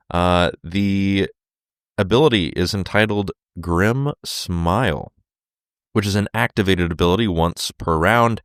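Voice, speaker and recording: A man hosting his solo podcast while sick, with a sore throat and a fading voice, talking casually with many fillers and throat clears. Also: deep voice, no echo, clean studio sound. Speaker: podcast host.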